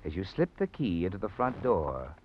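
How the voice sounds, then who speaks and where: A man's voice: low voice, big pitch swings, normal speed, dull audio, noisy background. An actor playing an ominous, all-knowing narrator in a 1940s radio mystery, addressing the guilty man dramatically. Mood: tense, knowing. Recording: old band-limited radio recording, loud music.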